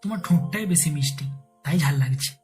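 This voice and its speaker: A man's voice: a little high, expressive, fairly quick, lightly acted. Teasing, devoted Bengali husband played by a man. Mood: flirtatious. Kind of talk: romantic audio story acting. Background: soft background music.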